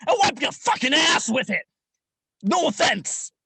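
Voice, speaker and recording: A young man acting in a comic radio play as a gruff, jaded old police sergeant, crude and rambling in his slangy talk. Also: extremely high pitch, big pitch swings, a little slow, bright, forceful tone, no room sound, full character voice. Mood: furious shouting. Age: young adult. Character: gruff, jaded veteran male police sergeant near retirement.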